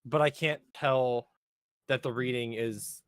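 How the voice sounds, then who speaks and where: A man's voice: medium pitch, steady tone, slow, clear, resonant tone, no echo, studio quality. A man co-hosting a podcast, playfully sarcastic and exaggerating his takes for effect. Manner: playfully sarcastic, admits embellishing. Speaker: podcast co-host. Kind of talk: casual chat reviewing anime.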